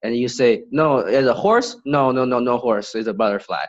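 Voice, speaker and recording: A young man from Beijing with a Chinese accent, chatting casually as a guest and often pausing to search for words. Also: mid-range voice, dull audio. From Beijing, China. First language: Mandarin Chinese. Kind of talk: casual chat.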